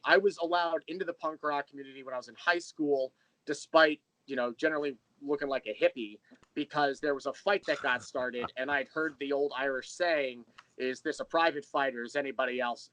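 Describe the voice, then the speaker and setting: A man's voice: medium pitch, normal speed, fairly bright tone, no echo, rough recording. An American man chatting casually as an interview guest, candid and self-deprecating in a rambling way. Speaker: interview guest, audio drama creator.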